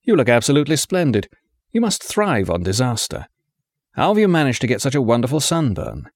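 A man voicing a wounded, brooding man who talks in a clipped, gruff, sardonic way. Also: mid-range voice, huge pitch swings, brisk, dry recording. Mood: hearty.

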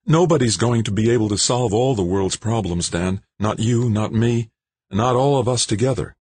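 A man's voice: fairly low pitch, moderate pace, a little mellow, a little hiss or hum, voice pushed up, natural voice. A man voicing a worried grown woman who pleads earnestly, in an audiobook. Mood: pleading. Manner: anxious, pleading.

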